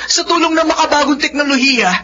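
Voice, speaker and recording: A man's voice: very high-pitched, quick pace, background noise. A man playing an earnest, lovestruck young son with a Filipino accent in an impassioned radio drama style. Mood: indignant, impassioned. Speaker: drama voice actor. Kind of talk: melodramatic radio drama acting. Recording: dull lo-fi audio with music.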